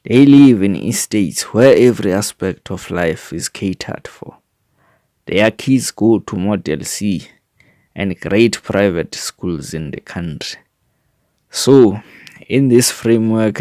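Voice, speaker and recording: A South African man reads a written framework aloud at an unhurried, slow pace. His voice sits fairly low, and his delivery is formal and deliberate, with an occasional stumble. The sound is dry and close, with very little room. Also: lively intonation, mellow, dry recording. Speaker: reader of a sport development framework. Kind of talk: scripted reading of a manifesto.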